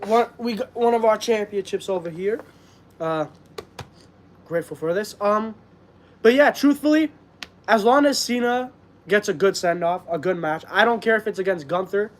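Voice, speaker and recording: A man's voice: high-pitched, moderate pace, slight background noise. A young man from Canada hosting a wrestling live stream, talking earnestly and rambling with fillers, with a stuffed-up voice. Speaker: wrestling channel host. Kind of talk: casual live-stream chat.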